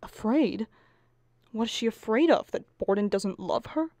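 Woman doing a naggy anxious middle-aged wife, radio comedy, highish voice, very dramatic, dry sound. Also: expressive, normal speed, warm tone, no room sound. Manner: melodramatic, pleading, argumentative. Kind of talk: stage comedy acting for radio.

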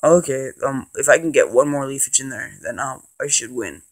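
A man with a cold and a congested voice, rambling casually with lots of ums and excited bursts as he plays and narrates a handheld game. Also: medium pitch, normal speed, poor audio. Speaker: gaming channel host. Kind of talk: casual let's play commentary.

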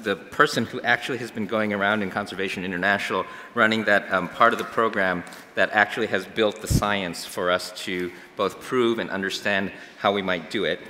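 A man's voice: on the low side, fairly even intonation, a little fast, hardly any pauses, bright, forceful tone. A middle-aged man on a stage introducing a guest speaker, hesitant with many fillers. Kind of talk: informal introduction. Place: auditorium stage. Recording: hall reverb with faint noise.

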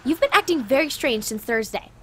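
High-voiced neglected wife played by an actress. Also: female voice, sing-song, fairly quick, a little hiss or hum. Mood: hurt, confronting. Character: neglected, confrontational wife and mother. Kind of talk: audio drama acting.

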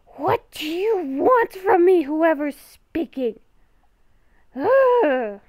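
A woman playing a nervous young female werewolf in an improvised Minecraft roleplay, halting and stammering as she talks. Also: extremely high pitch, sing-song, a little mellow, no room sound, exaggerated voice. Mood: exasperated, alarmed. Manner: halting, stammering, repeats herself. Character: nervous young woman werewolf, hearing ghostly voices at night.

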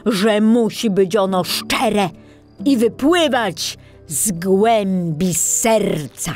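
A woman's voice: medium pitch, a little mellow, pitched below the performer's own voice. A woman voicing a kindly male gnome elder in a children's audiobook, speaking in a ceremonious, announcing manner. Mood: solemn. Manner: slow, ceremonious. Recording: music behind the voice.

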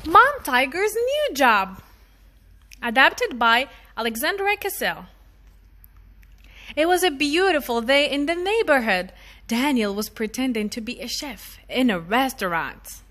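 A woman reading a children's storybook aloud in an expressive style as the storytime reader. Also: sits high, unhurried.

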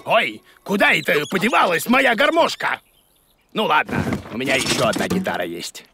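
A man's voice: fairly high pitch, big pitch swings, normal speed, background noise. A man voicing a grumpy, bumbling bearded creature who teaches children in a cartoon, in a blustery, muttering manner. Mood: self-important.

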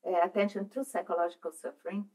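A middle-aged woman from Brazil with a Brazilian Portuguese accent, presenting as a psychoanalyst in a meeting room, pausing and restarting as she searches for English words. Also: on the low side, steady tone, a little mellow, low-quality recording. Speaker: psychoanalyst speaker. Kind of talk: presentation at a seminar. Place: meeting room, seated at a table.

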